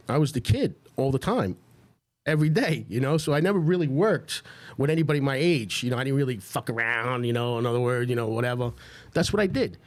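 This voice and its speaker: A man speaking as a podcast guest, casually reminiscing in an informal way and repeating phrases for emphasis. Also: mid-range voice.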